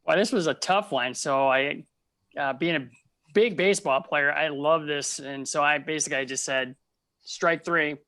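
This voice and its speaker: A man's voice: on the high side, normal speed, pushed and bright, no room sound. A man playing an earnest baseball player as a contestant in improvised game show comedy.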